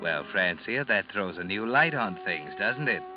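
An actor playing a sardonic, taunting narrator who speaks straight to the heroine in an ominous, dramatic old-time radio style. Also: male voice, fairly low pitch, animated, normal speed, over loud music, natural voice. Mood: sly, taunting. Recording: muffled old radio recording.

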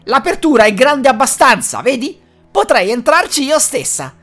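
A woman voicing a cruel shouting old witch. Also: high-pitched, sing-song, moderate pace, soft background music, voice pushed up, heavily acted. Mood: scornful. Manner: harsh scolding shouts. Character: cruel half-blind old female witch.

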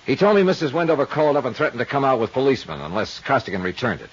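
A man's voice: medium pitch, animated, very fast, breathy edge, lo-fi sound. A man acting a hard-boiled, unflappable private investigator in a 1950s radio detective drama, with a clipped, dry, matter-of-fact delivery. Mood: brisk, insistent. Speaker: lead actor and narrator of radio drama.

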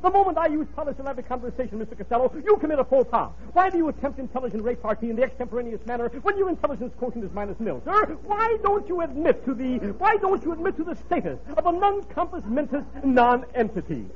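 A fussy, condescending professor from a 1940s radio comedy. His voice is very high and lively in pitch, rattling out long words with pompous relish. The old broadcast sounds muffled. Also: quick pace, hardly any pauses, slight background noise. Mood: scornful. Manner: pompous, verbose, polysyllabic lecturing.

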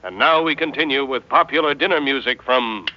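An actor plays a wartime-era radio newsman delivering an urgent bulletin. His middle-pitched voice is formal and measured. The sound is that of a dull old broadcast. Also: close to an everyday voice. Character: urgent, formal 1940s radio news announcer. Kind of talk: 1940s radio news bulletin acting.

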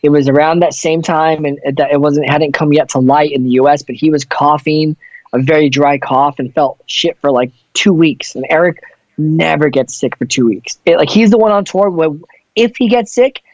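Swearing touring singer, casual chat. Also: male voice, a little high, moderate pace, dry recording, muffled recording. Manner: loose, profane, rambling.

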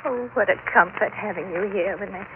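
An actress playing a flustered, evasive, hesitant older sister in a 1940s radio drama. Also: female voice, mid-range voice, fairly quick, natural voice. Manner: hesitant, fluttery. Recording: tinny, noisy old radio broadcast.

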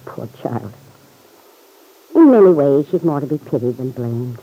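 An actress playing a warm, forgiving middle-aged small-town mother in an old-time radio serial. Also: very deep voice, animated, measured pace, husky, full character voice. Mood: gentle, concerned. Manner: gentle, forgiving, chuckling. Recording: vintage radio recording, muffled.

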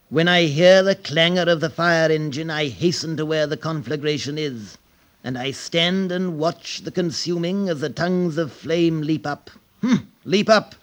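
An actor playing an overwrought judge secretly enthralled by fire, theatrical and halting, in the style of 1950s radio drama. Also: male voice, on the high side.

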